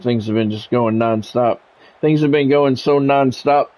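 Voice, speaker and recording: An American man doing sports play-by-play for a video game stream, mixing game calls with relaxed, casual chat to viewers. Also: medium pitch, unhurried. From United States. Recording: dull lo-fi audio with faint noise.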